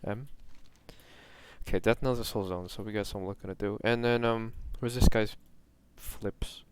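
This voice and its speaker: A man's voice: fairly low pitch, normal speed, a little hiss or hum. A young man from New York giving casual, rambling commentary while streaming a game, self-mocking and drifting off-topic. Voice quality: monotone.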